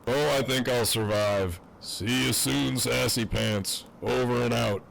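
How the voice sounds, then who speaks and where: A man's voice: medium pitch, steady tone, a little slow, gravelly, fairly bright tone, a little hiss or hum. A man playing a cocky, crude prisoner who teases in wry, swaggering banter in an audio drama. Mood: sardonic. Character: cocky, crude, teasing imprisoned man on a walkie-talkie. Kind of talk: fan audio drama acting.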